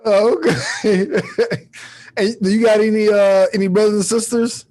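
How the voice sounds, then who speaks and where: A man's voice: high-pitched, fairly even intonation, unhurried, a little mellow. A man hosting a podcast in a casual, laid-back chat, asking questions in loose, familiar phrasing. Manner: laid-back, often tags sentences with "man". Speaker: podcast host.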